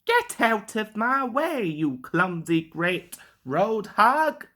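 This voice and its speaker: A woman's voice: mid-range voice, very animated, slow pace, a bit bright, exaggerated voice. Woman voicing a pompous, boastful steam engine. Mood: angry, indignant. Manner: pompous, indignant. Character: boastful, grand, easily angered male steam engine. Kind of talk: children's storybook character voice.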